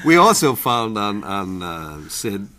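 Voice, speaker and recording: A man hosting a casual radio interview, framing his questions haltingly and repeating words as he goes. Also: on the low side, big pitch swings, bright, forceful tone. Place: radio studio. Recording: clear crowd sound behind the voice.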